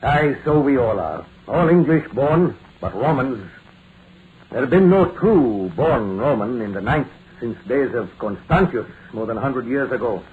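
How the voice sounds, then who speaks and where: A friendly Roman legionary, played by a man in a vintage radio play. He talks in hearty, archaic soldier's phrases at a medium pitch. The sound is thin and clearly noisy. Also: animated, unhurried, narrow, tinny audio, close to an everyday voice. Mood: hearty, proud. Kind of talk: old-time radio drama acting.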